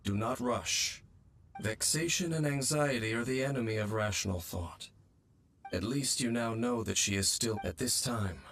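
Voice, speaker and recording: An actor playing a calm, knowing swordsman in a video game, speaking in a measured, formal, philosophical manner with a husky voice. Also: mid-range voice, a little hiss or hum, natural voice. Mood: calm, grave. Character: calm, knowing swordsman, grave and cryptic companion. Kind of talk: video game character dialogue.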